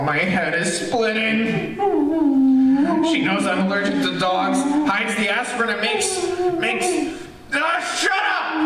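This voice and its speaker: A man's voice: sits high, a bit bright, heavily acted. An actor playing a grumpy, sleepy, put-upon husband who groans and stammers his complaints in an old-time radio comedy sketch. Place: theater stage. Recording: distant, echoey theater live stream.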